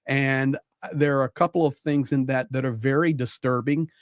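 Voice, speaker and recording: A man's voice: medium pitch, fairly even intonation, normal speed, no echo, studio quality. A man giving conversational live political commentary as a panel guest, repeating phrases for emphasis.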